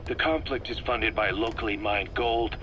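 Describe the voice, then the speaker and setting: A video game intelligence officer with a low, sharp-edged voice. He briefs his commander in a measured, grave, expository way. There is a light layer of noise on the recording. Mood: sober, explanatory.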